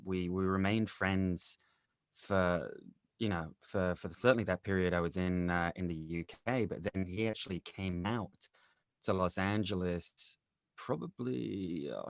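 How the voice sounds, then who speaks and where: Deep-voiced man, halting, very dry recording. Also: dry recording. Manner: halting, searching for words. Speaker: podcast guest, actor and producer. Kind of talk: casual interview reminiscence.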